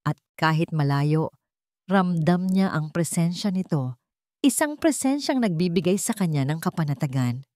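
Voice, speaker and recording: A woman storyteller with a fairly low voice narrates a dramatic story from a script. The sound is dry, with very little room in it. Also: animated, moderate pace, dark tone, dry recording.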